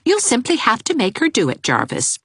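A woman voicing a cool, sensible young woman teacher who speaks in a brisk, matter-of-fact way. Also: a little high, wildly swooping pitch, fairly bright tone, husky, no echo, pitched above the performer's own voice, heavily acted. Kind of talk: audiobook character voice.

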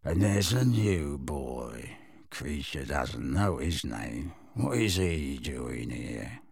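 Ancient male house elf: bottom-of-the-range bullfrog croak, malevolent, dragging mutter for a storybook. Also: sing-song, a little mellow, no room sound, clean studio sound, full character voice. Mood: resentful lament. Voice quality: hoarse, deep, bullfrog-like croak. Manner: muttering under his breath, slow and doggedly resentful. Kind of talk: audiobook character voice.

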